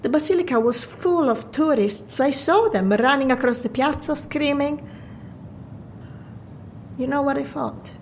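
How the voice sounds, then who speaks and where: A high-pitched Italian waitress, chatty yet fatalistic. Her English is accented and wistful, with natural audio drama delivery. A faint rumbling noise sits behind her. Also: unhurried, pauses often. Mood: fatalistic, reflective. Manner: wistful, non-native English phrasing. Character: friendly, chatty, fatalistic Venetian café waitress.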